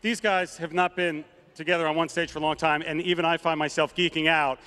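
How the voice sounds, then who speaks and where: A man's voice: a little high, fairly even intonation, brisk, music in the background. Man hyping a convention crowd. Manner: announces names with rising, shouted emphasis. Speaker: studio head presenting a convention panel. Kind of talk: stage introductions to a cheering crowd. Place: large convention hall stage. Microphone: handheld microphone.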